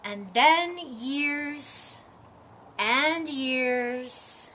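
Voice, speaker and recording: A woman reading a children's story aloud, with expressive, deliberate phrasing and dramatic emphasis. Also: high voice. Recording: audible background noise.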